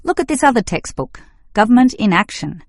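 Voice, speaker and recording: A woman acting an agreeable, opinionated university student in a scripted exam dialogue, speaking in a measured, clear way. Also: a little high, big pitch swings, dry recording, studio quality. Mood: agreeable, reasoning. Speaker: student in a listening test dialogue.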